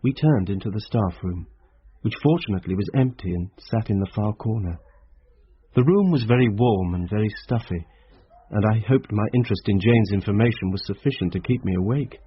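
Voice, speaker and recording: Husky male schoolmaster narrating a mystery. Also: lowish voice, expressive, fairly quick, no room sound. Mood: reflective. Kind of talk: radio drama narration and dialogue. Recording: slightly dull radio recording.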